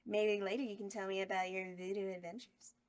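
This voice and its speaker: A woman's voice: medium pitch, little pitch movement, a little fast, natural voice. A woman reading a flirty, bawdy horror hostess at a table read. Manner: bawdy, giggly. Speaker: cast member in table read.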